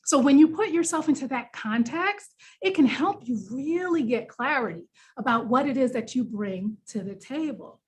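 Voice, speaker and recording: A woman's voice: high voice, animated, normal speed, slightly rough. A woman with a New York accent presenting a workshop in a conversational, encouraging, explanatory way, leaning on key words for emphasis. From New York, United States. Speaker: workshop presenter, business coach.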